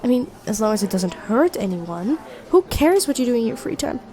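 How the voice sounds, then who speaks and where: Casting: woman to play young female best friend with a secret crush, high mellow voice, chatty and teasing, intimate ASMR roleplay. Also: sing-song, fairly quick. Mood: friendly, chatty. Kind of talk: intimate ASMR roleplay acting, one side of a conversation.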